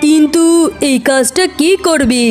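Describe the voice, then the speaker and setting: A woman's voice: sits high, a little slow, a little mellow. A woman voicing a startled, indignant tigress housewife who exclaims and questions in a children's cartoon. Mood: startled, puzzled. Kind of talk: animated children's story dialogue. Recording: music behind.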